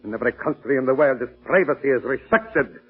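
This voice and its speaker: An actor playing a haughty foreign royal mystic and suspected villain in a 1930s radio drama, speaking formally and precisely in a husky voice. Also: male voice, mid-range voice, animated, quick pace. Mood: indignant. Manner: formal, indignant, precise phrasing. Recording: tinny, muffled old radio recording with music.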